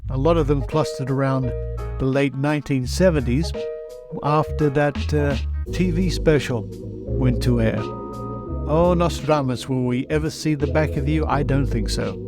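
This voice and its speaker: A man hosting a podcast, reading scripted material in a measured, theatrical way. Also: mid-range voice, music in the background. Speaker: podcast host. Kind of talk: scripted podcast narration, reading old newspaper pieces.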